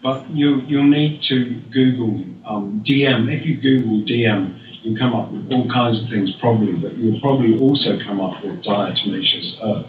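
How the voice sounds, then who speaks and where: A man's voice: mid-range voice, moderate pace, few pauses. Man, northern English accent, easygoing, jokey, phone-like distant audio, noisy. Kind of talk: casual internet radio chat. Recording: tinny, echoey call line with noise.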